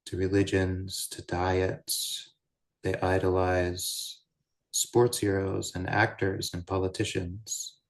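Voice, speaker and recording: A man guiding a meditation as a teacher, speaking in measured, deliberate short phrases with pauses between them. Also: sits low, flat delivery. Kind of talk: guided meditation, unscripted Buddhist teaching.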